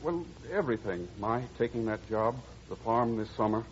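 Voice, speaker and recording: An actor playing an earnest, anxious suitor pleading in 1940s radio drama style. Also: male voice, lowish voice, animated, normal speed, a little hiss or hum, natural voice. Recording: dull old radio recording.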